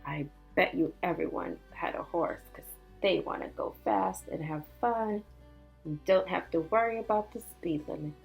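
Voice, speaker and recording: A woman teacher reading a storybook aloud to children, expressive and emphatic, with little chatty asides. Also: on the low side, moderate pace, gravelly, husky, faint music behind.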